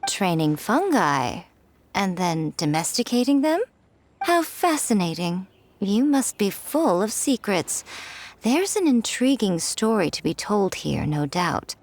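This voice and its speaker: A woman voicing a sly, playful adult editor who teases others in a smooth, amused manner in a video game. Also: mid-range voice, big pitch swings, slow pace, exaggerated voice. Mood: teasing. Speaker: light novel editor.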